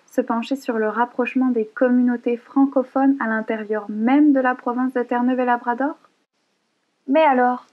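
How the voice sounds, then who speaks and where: A woman reporter reading a scripted news report narration in a measured, formal way. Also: a little high, phone-quality sound.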